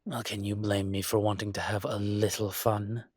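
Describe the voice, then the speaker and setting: A man performs a male dragon shifter who rules as a mafia boss. His voice is low and husky, and he takes his time, leaving deliberate pauses. He sounds smug and teasing yet protective, recorded close and dry like an intimate audio drama. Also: little pitch movement, darkish tone, dry recording. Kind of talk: slow intimate roleplay audio acting.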